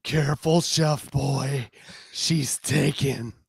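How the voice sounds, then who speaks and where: A woman voicing a sly, teasing female instigator in a romance audio drama, with a dark, husky, challenging delivery. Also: a bass voice, takes it slowly, no room sound, studio quality, full character voice.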